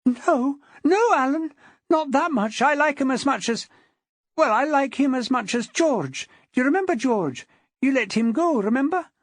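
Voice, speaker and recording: A woman's voice: sits high, animated, moderate pace, dry recording, voice pushed up. A woman voicing a placating, consoling woman with a sing-song, almost hypnotic delivery in an audiobook. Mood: pleading. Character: placating woman soothing her dangerous ex-husband, sing-song.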